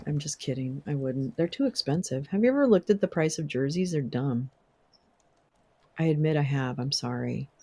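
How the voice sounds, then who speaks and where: A young woman hosting a casual livestream, chatting with viewers, swearing and laughing often, her voice wearing hoarse. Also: low voice, animated, moderate pace, mellow. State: losing her voice. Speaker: livestream host. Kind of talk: casual livestream chat reading viewer comments.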